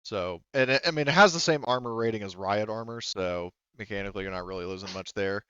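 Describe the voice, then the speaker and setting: A man narrating a tabletop roleplaying game as game master, in a halting, conversational way with asides. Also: on the low side, pushed and bright, smooth, dry recording, muffled recording.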